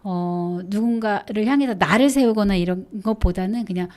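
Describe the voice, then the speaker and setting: A woman's voice: medium pitch, normal speed, darkish tone. Chatty teasing Korean woman host. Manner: chatty, teasing, laughs often. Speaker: talk show host. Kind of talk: casual radio talk.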